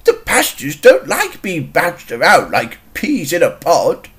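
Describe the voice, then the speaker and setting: A man's voice: on the high side, wildly swooping pitch, measured pace, a bit bright, exaggerated voice. A man voicing a genial, eccentric older earl from a children's cartoon, kindly and encouraging.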